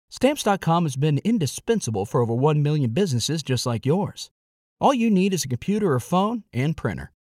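Guy doing an upbeat ad read, bouncy pitch, really dry close sound. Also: a little mellow, no room sound. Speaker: advertising announcer.

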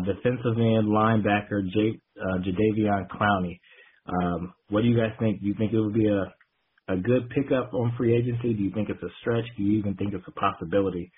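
Phone-line audio, man, rough husky low voice, casual caller, voicemail question. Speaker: listener leaving a voicemail.

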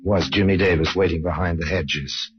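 A dull old radio recording of a man acting as a brooding crime narrator. The voice is very low, deliberate and sardonic, shaping rhetorical questions for suspense. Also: natural voice. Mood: grave, suspenseful.

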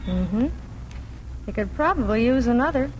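A woman's voice: a little high, expressive, normal speed, lightly acted. An actress playing a practical, kindly farm wife and mother in a 1940s radio drama. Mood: brisk, fond. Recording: noisy old 1940s radio recording.

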